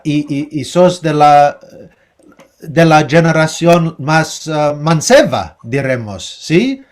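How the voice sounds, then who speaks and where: A man hosting an interview, hesitant and repeating words as he forms his questions. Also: mid-range voice. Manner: hesitant, repeats words, gives frequent soft acknowledgments. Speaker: interviewer host. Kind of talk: conversational interview.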